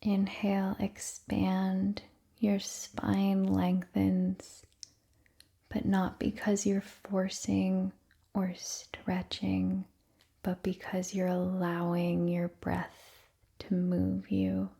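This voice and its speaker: A woman leading a guided meditation in a soft, breathy near-whisper, gently and soothingly, with long unhurried pauses. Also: medium pitch, monotone.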